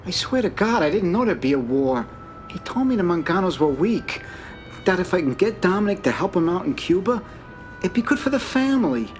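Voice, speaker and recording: An actor playing a weak, resentful older brother from a mafia family, pleading and aggrieved, with an American accent. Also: male voice, high-pitched, sing-song, brisk, faint music behind. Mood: nervous, pleading. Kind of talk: video game cutscene acting.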